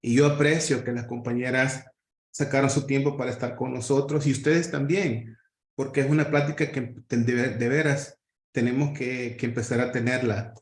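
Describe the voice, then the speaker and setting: A man speaks earnestly on a video call, working through his point with frequent pauses and restarts. His voice sits mid-range and rings in an echoey room. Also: mid-range voice, fairly even intonation. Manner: earnest, halting with fillers and restarts. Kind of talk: workshop discussion.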